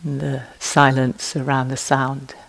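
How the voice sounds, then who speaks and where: A woman teaches meditation in a gentle, even voice that barely rises or falls. She speaks slowly and leaves long pauses between phrases. Her tone carries a noticeable gravelly fry. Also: very deep voice. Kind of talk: guided meditation instruction.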